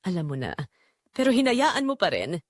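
A woman's voice: medium pitch, wildly swooping pitch, normal speed, no echo, studio quality. A woman voicing a hurt, angry young man in an audio drama, accusing and intense. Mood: shocked, hurt.

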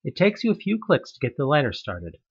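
A man tells a horror story straight to the listener, his voice swinging widely in pitch as he builds dread. His tone is slightly husky. The recording is dull and lo-fi. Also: huge pitch swings, brisk. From United States. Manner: tense, dramatic storytelling with rising questions. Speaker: narrator of a fan audioplay. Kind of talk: second-person horror narration.

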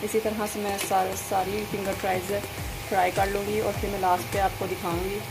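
Even-toned woman narrating a recipe. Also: mid-range voice, fairly quick, vocal fry, rough recording. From Pakistan. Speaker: home cooking channel host. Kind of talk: step-by-step recipe voice-over. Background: music behind the voice.

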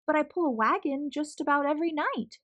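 A woman's voice: high-pitched, fairly quick, a bit bright, highs cut off, voice pushed up. A woman voicing a brave young female storybook reindeer, sweet and earnest, with a light girlish voice. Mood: eager.

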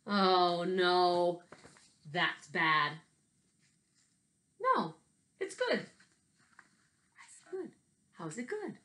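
A woman in a slightly low voice. She is chatty and expressive, addressing young children as their teacher would, in an informal home video with read-aloud storytelling. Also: extremely slow, pauses often. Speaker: elementary school teacher. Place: small bedroom at home, indoors. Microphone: laptop webcam at desk distance.

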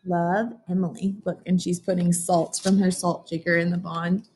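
A woman's voice: on the low side, flat delivery, normal speed, darkish tone, breathy edge. A young woman teacher doing a read-aloud lesson, explaining and asking questions as if to young children. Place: classroom, indoors.

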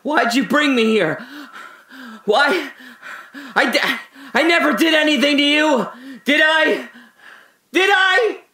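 An actor playing a terrified, confused man trapped alone in darkness, talking to himself in breathy fragments in an audio drama. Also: very high-pitched, lively intonation, takes it slowly, pushed and bright, full character voice. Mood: screaming, desperate panic.

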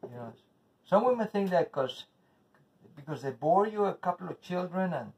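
A man's voice: high-pitched, expressive, moderate pace, darkish tone, breathy edge, recorded from a distance, poor audio. A middle-aged man with a Spanish accent, a native Spanish speaker, talking through a home cooking video with rambling, bitter, profane asides. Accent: Spanish-speaking, English as second language. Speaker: home cook vlogger. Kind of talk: casual cooking video with ranting. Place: home kitchen, indoors. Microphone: camera across the counter. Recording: voice from off-mic with faint noise.

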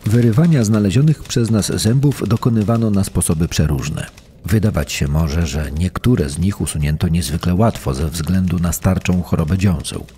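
An actor playing a sober forensic investigator, formally reading out a report for a documentary. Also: male voice, sits low, moderate pace, mellow, slight background noise, natural voice. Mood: sober, clinical. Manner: formal, clinical.

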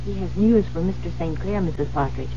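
An actress playing a dutiful, fussy secretary with a deferential, hesitant manner in a 1970s radio drama. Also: female voice, fairly low pitch, a little fast, dark tone, slight background noise, close to an everyday voice. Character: dutiful, fussy, eager-to-please female secretary. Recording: muffled, humming 1970s radio broadcast.

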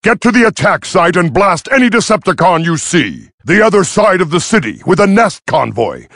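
An actor playing a gruff robot medic soldier in a video game, with a raspy voice and a dry, urgent delivery. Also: male voice, high voice, sing-song, moderate pace, a bit bright, no echo, full character voice. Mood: focused, commanding. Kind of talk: scripted video game battle dialogue.

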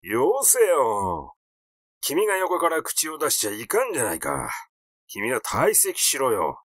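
A man's voice: medium pitch, huge pitch swings, measured pace, a bit bright, no room sound, studio quality, full character voice. A man voicing a flustered, easily irritated male doctor in a story reading, hesitant, rambling and grumbling.

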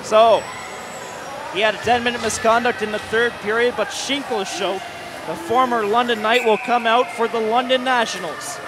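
A man calling play-by-play for a hockey shootout, rising to shouted goal calls while his voice is going. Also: very high voice, fairly even intonation, normal speed. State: losing his voice. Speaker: play-by-play commentator. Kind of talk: excited sports commentary. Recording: crowd and arena noise behind.

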